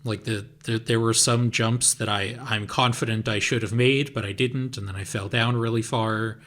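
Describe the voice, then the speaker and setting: A man co-hosting a podcast, talking casually about games in a relaxed, hedging way. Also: mid-range voice, normal speed. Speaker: podcast co-host. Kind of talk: casual chat.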